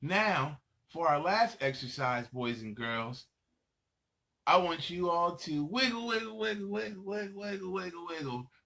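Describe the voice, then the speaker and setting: A man with a high voice presents a children's show at a very unhurried pace. He is playful and encouraging, often repeating short phrases in a sing-song way as he leads young viewers along. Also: animated, gravelly, pushed and bright. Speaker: children's storytime host.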